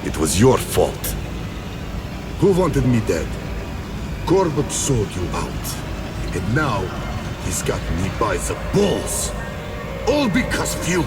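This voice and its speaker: An actor playing a guilt-ridden Russian leader in a video game, brooding and breaking into anguished outbursts. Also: male voice, medium pitch, huge pitch swings, takes it slowly, long pauses. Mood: bitter. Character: guilt-ridden male Russian leader confessing betrayal, tormented. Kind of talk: video game dramatic acting. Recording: loud music over the voice.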